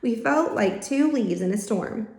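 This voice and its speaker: A woman reading a children's story aloud in expressive storybook narration. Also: a little high, a little slow. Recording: roomy, echoing home-style recording.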